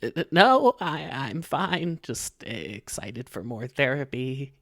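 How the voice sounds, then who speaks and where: A performer voicing a nervous young male college student, soft-spoken and hesitant with a stammer, in an audio story reading. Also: medium pitch, lively intonation, slow, full character voice. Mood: flustered, nervous.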